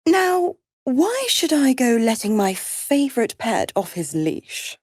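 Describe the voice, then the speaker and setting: A woman's voice: fairly high pitch, very animated, slow, a little mellow, slightly rough, no echo, studio quality, heavily acted. An actress voicing a seductive, menacing female devil in a video game, theatrical and condescending, savoring each word as she taunts. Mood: mocking, playful. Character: smug, seductive, menacing female devil, cruel mistress of pacts.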